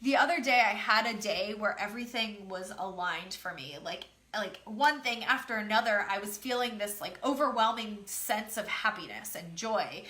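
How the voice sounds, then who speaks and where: A woman's voice: medium pitch, moderate pace, hardly any pauses, pushed and bright. A woman business coach giving a casual, emphatic motivational talk, slightly nasal and congested from a cold, repeating her key phrases.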